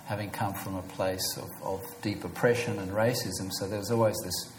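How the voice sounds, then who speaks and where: A man's voice: deep voice, fairly even intonation, normal speed, slight background noise, poor audio. A man interviewing an author, asking long, searching questions with many fillers.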